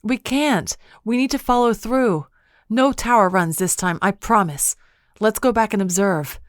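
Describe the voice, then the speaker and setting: A woman's voice: mid-range voice, measured pace, no room sound, clean studio sound, pitched above the performer's own voice, natural voice. A woman voicing a determined young wife who speaks eagerly and insistently. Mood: pleading. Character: determined young wife, anxious but stubborn.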